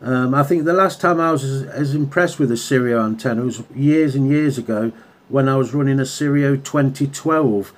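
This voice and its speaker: A man with a mid-pitched voice makes casual radio contact as a hobbyist. He is chatty and slips easily into radio jargon. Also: moderate pace. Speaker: radio hobbyist and video maker. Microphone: handheld radio microphone.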